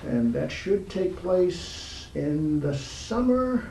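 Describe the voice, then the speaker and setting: A man hosting a casual, unscripted interview in a halting, informal way. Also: fairly high pitch, big pitch swings, creaky, darkish tone. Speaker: interview host. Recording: echoey, distant mic, background room noise.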